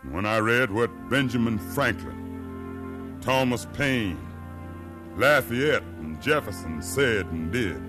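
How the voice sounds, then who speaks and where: An actor playing a proud, defiant freed slave facing his sentence, with solemn, impassioned oratory in a 1950s radio drama. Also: mid-range voice. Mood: solemn pride. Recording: 1950s radio recording, music behind.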